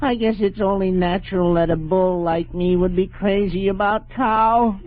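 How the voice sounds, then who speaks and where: A man's voice: sits high, steady tone, moderate pace, music in the background, lightly acted. A man playing a doleful, mock-polite, sardonic married postman in a vintage radio comedy. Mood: droll, doleful. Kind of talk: 1950s radio comedy acting. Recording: narrow, muffled vintage radio broadcast.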